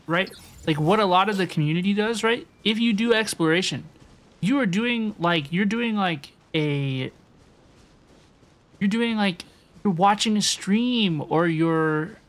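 A young woman game streamer chatting casually with viewers as she plays, often repeating her phrases. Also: on the low side. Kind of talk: casual live-stream chat and gameplay commentary. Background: music.

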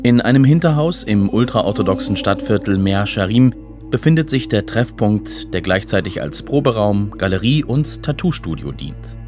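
Low-pitched male documentary narrator over music. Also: expressive, moderate pace. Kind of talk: scripted narration. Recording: music under the voice.

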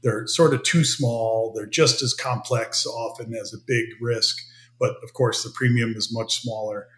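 A middle-aged American man, a company CEO, explaining his business in a measured way as a podcast guest. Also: mid-range voice, steady tone, fairly bright tone. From United States. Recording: roomy remote audio.

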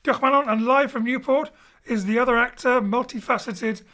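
A man's voice: very high-pitched, moderate pace, bright, forceful tone. A man, a director and host, giving an informal spoken introduction to an audio show. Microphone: home studio microphone over internet link.

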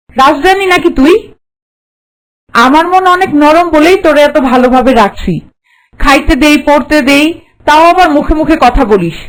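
Bossy stuck-up auntie for a cartoon, high voice, naggy n contemptuous. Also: female voice, low-quality recording. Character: haughty, scolding, hard-hearted mistress of the house. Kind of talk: Bengali cartoon voice acting.

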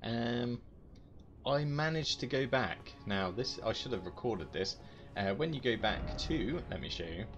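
A man's voice: medium pitch, animated, a little slow, lo-fi sound. A man giving casual, self-deprecating gameplay commentary as a gaming video host. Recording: game music behind the voice. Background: loud game music.

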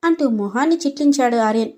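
A woman narrates a scripted story. Her voice is high, and she delivers the narration as a storyteller between lines of dialogue. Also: expressive, fast, a little mellow.

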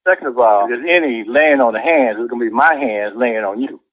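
A man's voice: mid-range voice, a little fast, slightly rough, narrow, tinny audio. A man, a church deacon, arguing on the phone in a defensive, firm, repetitive way. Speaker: church deacon, prank call victim. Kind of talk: indignant phone argument.

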